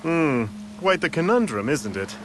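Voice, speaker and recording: An actor playing a courteous, composed, thoughtful gentleman adventurer in a video game, speaking politely and with measure. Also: fairly high pitch, very animated, measured pace, background noise. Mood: pensive. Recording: music under the voice.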